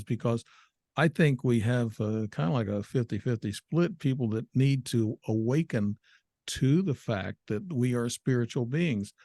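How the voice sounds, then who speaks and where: A man's voice: mid-range voice, a little mellow, no room sound. Older man, 70s, host, hesitant, agreeable, dry close recording. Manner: hesitant, agreeable, many yeahs and restarts. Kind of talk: conversational interview.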